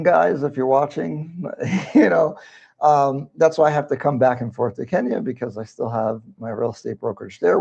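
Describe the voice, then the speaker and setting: Male livestream host, casual and rambling, gravelly mellow voice. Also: medium pitch, muffled recording. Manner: rambling, conversational, reads viewer comments aloud. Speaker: livestream host, real estate broker.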